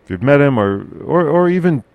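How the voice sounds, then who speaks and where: A man hosting a casual local podcast chat, with a loud, carrying voice, talking haltingly with many fillers and restarts. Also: fairly high pitch, expressive, dry recording, lo-fi sound. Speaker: podcast host.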